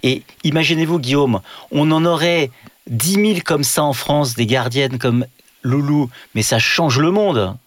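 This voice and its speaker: A man's voice: on the high side, unhurried, no room sound. A man working as a radio reporter, asking questions with emphatic admiration. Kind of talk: radio interview.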